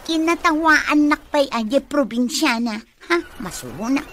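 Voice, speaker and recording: A vain, whiny young woman played by an actress speaks in a high voice at a leisurely, drawn-out pace. It is haughty radio comedy acting, with faint noise behind it. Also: expressive, fairly bright tone. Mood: offended. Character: vain young office woman smitten with her boss.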